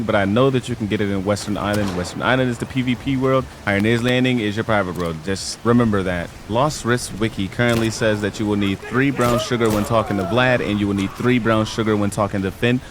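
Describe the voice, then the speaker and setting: A man's voice: fairly low pitch, brisk, a little hiss or hum. A man narrating a casual game tutorial, laid-back and slangy, talking straight to his viewers. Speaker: gaming video creator.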